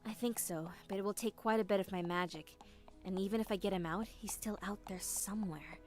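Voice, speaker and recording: A woman's voice: mid-range voice, a little fast, darkish tone, faint music behind, lightly acted. Woman as sensible, composed female pony leader. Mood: steady, supportive. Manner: composed, take-charge. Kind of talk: animated fan audio drama acting.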